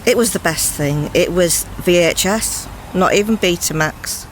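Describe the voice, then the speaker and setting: A woman's voice: lowish voice, unhurried, slight background noise, low-quality recording. A woman casually reminiscing about the past.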